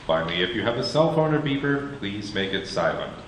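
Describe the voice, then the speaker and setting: A man's voice: fairly low pitch, very animated, fairly quick, noisy background. A man making a plain, informative announcement before a theater performance. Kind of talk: pre-show announcement. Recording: echoey theater with faint ambience.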